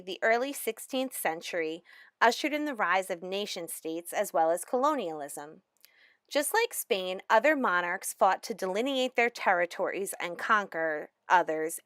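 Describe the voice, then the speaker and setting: A woman giving a measured, explanatory lecture narration as a language instructor. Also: mid-range voice, fairly bright tone, clear, resonant tone, no room sound, clean studio sound.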